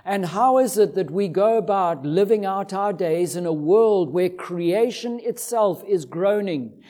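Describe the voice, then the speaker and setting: A man's voice: high voice, a little slow, dark tone. A man with a Zimbabwean accent preaching an expository sermon in a didactic way, driving points home with emphatic repetition.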